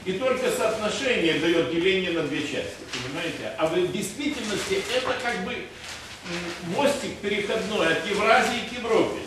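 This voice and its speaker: Older man lecturing in an echoey room. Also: sits high. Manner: lecturing, hesitant with many "э" fillers, shows charts. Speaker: sociologist. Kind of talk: panel discussion. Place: conference room. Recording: echoey room from far off, noisy.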